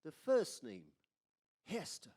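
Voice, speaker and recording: A man's voice: sits high, huge pitch swings, slow, mellow, exaggerated voice. A man playing a nervous, folksy cemetery caretaker in an old-time radio drama, with hesitant rustic speech. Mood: uneasy. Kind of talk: 1940s radio drama acting.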